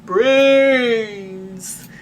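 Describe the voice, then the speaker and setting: Woman putting on a moaning, hungry storybook zombie. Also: a little high. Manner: drawn-out moaning. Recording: distant mic with faint background noise.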